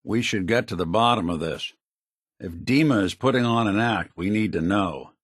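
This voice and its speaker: A middle-aged synth gumshoe from a video game. His voice is low, with a dry, sardonic noir manner. He speaks in laconic, world-weary phrases, captured in a very dry studio sound. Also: moderate pace, a bit bright, dry recording. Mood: grave, resolute.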